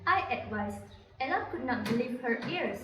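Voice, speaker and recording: Recorded at a distance in an echoey room, a young woman narrates a scripted story. Her voice sits a little high. There is faint noise in the recording. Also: expressive, moderate pace, fairly bright tone.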